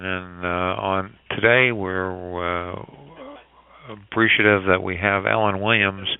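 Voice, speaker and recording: A man hosting a podcast, introducing it in a halting, wordy and formal manner over a phone line. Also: low-pitched, clean tone. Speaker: podcast host. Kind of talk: spoken introduction to a business podcast. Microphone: telephone call. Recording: tinny phone line, dry.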